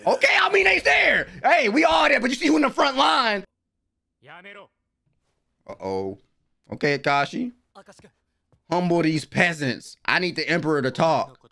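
Young male reactor, high and swooping voice, gravelly edges, slangy hype. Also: measured pace, long pauses. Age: young adult. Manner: animated, slangy, hyped exclamations. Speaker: anime reaction host. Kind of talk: excited live reaction commentary. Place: small room at home, indoors. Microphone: desk microphone, close.

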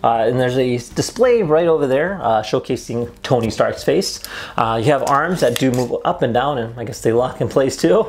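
A man's voice: mid-range voice, animated, normal speed, slight background noise. A young adult man presenting a casual product unboxing and review in an upbeat, conversational way, indoors in a small room. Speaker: host of an unboxing and review video.

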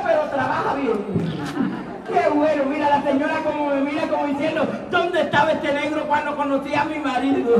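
A Cuban man performs playful street magic patter in a strikingly high, projected voice. He jokes at his own expense as he works the audience. The sound is distant and echoey, with a lively crowd around him in the open air. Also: background noise. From Cuba. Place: outdoor town square in front of an audience. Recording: echoey outdoor crowd recording from far off.

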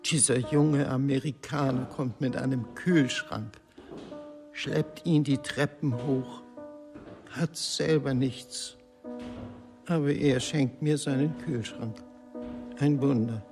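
An actor in a radio drama playing a lonely, impoverished old composer in a halting, plaintive manner. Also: male voice, mid-range voice, creaky. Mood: wondering. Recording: music mixed loudly behind.

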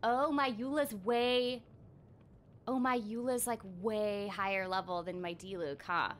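An excitable, giggly young woman chatting casually on a live game stream, often repeating her phrases, close on a desk microphone. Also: medium pitch, measured pace, slight background noise. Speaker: streamer and voice actress. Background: game music.

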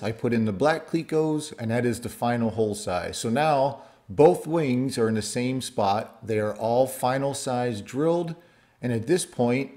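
A man's voice: mid-range voice, darkish tone. A middle-aged man narrating his kit airplane build in a home workshop, explaining each step plainly and practically.

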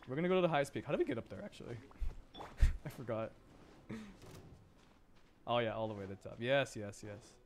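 Young guy rambling on a game stream, playful, bit of noise behind him, average pitch. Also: lively intonation, a little fast, long pauses. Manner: playful, rambling, laughs often. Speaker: livestreamer. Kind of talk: casual gaming livestream chat.

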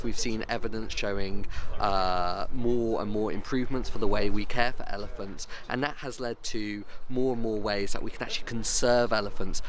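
A young man giving an assured, emphatic outdoor interview at a zoo. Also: on the low side.

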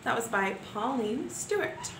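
A young woman teacher reading poems aloud to young pupils in an expressive sing-song way, with gentle asides between poems, filmed at home on a close webcam. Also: a little high, measured pace, a little hiss or hum. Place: living room at home. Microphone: webcam at close range. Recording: echoey room with faint ambience.

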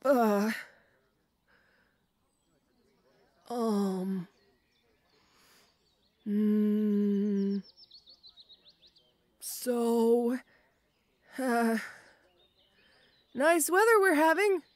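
Casting: female actor as timid, muscular orc schoolgirl for roleplay audio; high pitch, slow, stammering, apologetic delivery. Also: no echo. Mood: awkward, shy. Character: shy, awkward, muscular tomboy orc schoolgirl. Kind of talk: roleplay audio acting addressed to the listener.

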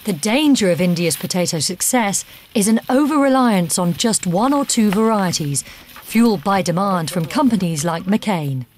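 A woman's voice: mid-range voice, animated, normal speed, darkish tone, a little hiss or hum. A woman reading scripted documentary narration as the narrator of the film. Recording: music under the narration. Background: background music.

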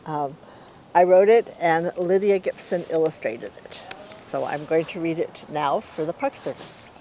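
A woman's voice: deep voice, unhurried, mellow, a little hiss or hum, poor audio. A woman in her sixties with an American accent, reading a picture book aloud as its author. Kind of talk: storybook read-aloud. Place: outdoor walled courtyard in daylight.

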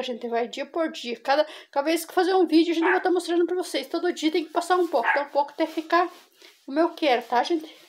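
Chatty high-voiced woman cooking at home. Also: very noisy. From Brazil. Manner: chatty, keeps saying "gente" to viewers. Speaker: cooking vlogger. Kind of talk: casual cooking demonstration. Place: home kitchen on a farm.

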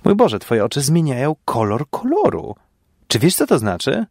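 A man plays an arrogant, obsessive scientist in an audio story. He is impatient and insulting, lecturing in a gravelly voice with a dry, close sound. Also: medium pitch, wildly swooping pitch, normal speed, darkish tone, no room sound, voice pushed up. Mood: excited lecturing. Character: irritable, arrogant male amateur scientist, obsessed with experiments.

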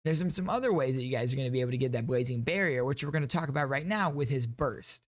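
A man's voice: medium pitch, quick pace, no room sound. Young man, enthusiastic gaming host, dry sound. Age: young adult. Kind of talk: upbeat game reaction commentary. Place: small home streaming room, indoors. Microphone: close studio microphone on a boom arm.

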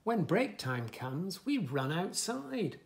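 Middle-aged man, children's storyteller, lively swooping intonation, gravelly creak, sing-song read-aloud. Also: high-pitched, a little hiss or hum. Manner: sing-song, expressive, slow emphatic reading with soft asides. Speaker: children's story reader, host. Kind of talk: animated picture-book read-aloud to young children. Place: small furnished room indoors, bookshelves.

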